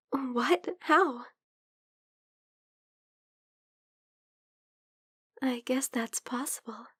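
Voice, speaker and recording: A woman playing a shy, lovestruck young female vampire in an intimate ASMR roleplay, speaking softly and hesitantly, often slipping into whispers. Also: high-pitched, no room sound, clean studio sound. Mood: worried, tender. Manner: hesitant, soft, breathy murmuring that slips into whispers.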